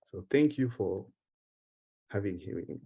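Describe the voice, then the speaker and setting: A man from Haiti with a Haitian accent, giving an earnest conference talk and taking questions, halting at times with repeated words. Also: on the low side, animated, creaky, dark tone, husky. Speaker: conference speaker. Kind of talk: conference speech then Q&A.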